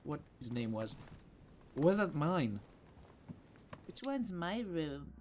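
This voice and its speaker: A man's voice: fairly high pitch, very animated, takes it slowly, a little mellow, a little hiss or hum. A man doing casual game commentary, playful, with muttered asides and exclamations. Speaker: gaming video host.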